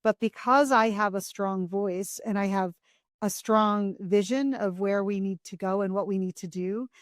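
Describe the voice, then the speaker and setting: A woman's voice: medium pitch, moderate pace, dry recording, clean studio sound. A woman hosting a podcast who speaks reflectively and thoughtfully from her own experience. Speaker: podcast host. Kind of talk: conversational interview.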